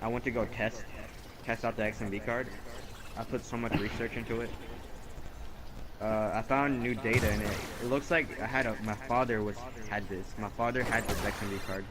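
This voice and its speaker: A man telling an improvised story casually during a game, rambling and halting as he corrects himself. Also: lowish voice, fairly even intonation, very noisy.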